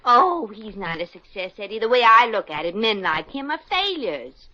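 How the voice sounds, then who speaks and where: A woman's voice: a little high, very animated, moderate pace, sharp tone. An actress playing a brassy, slangy young working-class woman in a 1950s radio drama. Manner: slangy, street-smart, ungrammatical. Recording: dull old radio recording.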